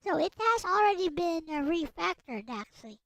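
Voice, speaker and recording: A woman livestreamer chatting casually as she works, halting and thinking aloud, often muttering and repeating short phrases. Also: very high-pitched, very animated, vocal fry, a bit bright, no echo, low-quality recording. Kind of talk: casual livestream chat while working. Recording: music playing behind the voice. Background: background music.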